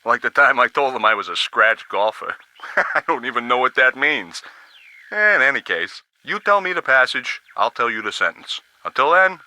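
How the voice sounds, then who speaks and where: An actor playing a folksy, easygoing radio operator in a video game, chatty and plain-spoken. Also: male voice, mid-range voice, animated, normal speed, bright, forceful tone, no room sound. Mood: chatty, affable. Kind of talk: scripted video game dialogue acting.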